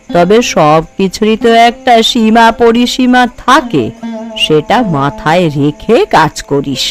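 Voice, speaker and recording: A woman voicing an older, bossy, thrifty mother who scolds and frets over her family in a cartoon. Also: lowish voice. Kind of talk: Bengali cartoon voice acting.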